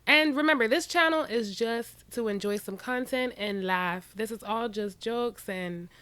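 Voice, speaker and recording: A woman hosting a reaction channel, giving sassy, animated commentary straight to her viewers. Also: fairly high pitch, a little slow, smooth.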